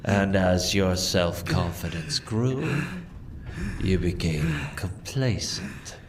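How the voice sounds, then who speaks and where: A man's voice: low-pitched, expressive, slow, background noise. A man playing the Red Death, a merciless masked spirit of plague, speaking in solemn, ominous pronouncements in a gothic audio drama. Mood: stern condemnation. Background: dramatic music.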